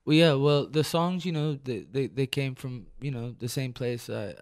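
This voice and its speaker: A man from Detroit, a musician guest on live radio, talking in a reflective, halting way with many restarts. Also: mid-range voice, a little mellow. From Detroit, United States. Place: radio studio.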